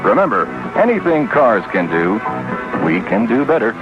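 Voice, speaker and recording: A man's voice: fairly low pitch, very animated, moderate pace. An American man reading a 1980s radio advertisement. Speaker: commercial announcer. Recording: dull old radio commercial. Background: loud music.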